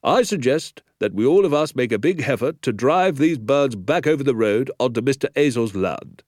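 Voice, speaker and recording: A man's voice: medium pitch, expressive, normal speed, darkish tone, no echo, clean studio sound, pitched above the performer's own voice, lightly acted. A man voicing a stern, formal village police sergeant. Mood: officious. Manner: formal, officious, ungrammatical at times.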